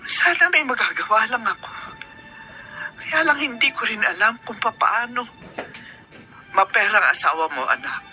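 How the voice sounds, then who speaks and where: Radio drama: elderly Filipino grandmother, tender and fretful, lively shifting pitch, noisy track. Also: normal speed, pauses often. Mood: anxious, remorseful. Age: older. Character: anxious, guilt-ridden, tender old grandmother.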